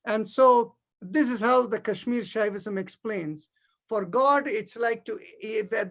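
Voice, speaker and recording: A middle-aged man from India with an Indian accent and Tamil as his first language, teaching yoga philosophy in a didactic, explanatory way and checking that listeners follow. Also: very high voice. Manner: didactic, checks understanding with short tag questions. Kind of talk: explanatory spiritual lesson.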